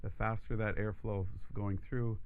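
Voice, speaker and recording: A man teaching a voice lesson, explaining steps calmly and steadily, his voice croaky from allergies. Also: fairly low pitch, normal speed, phone-quality sound. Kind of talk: instructional demonstration.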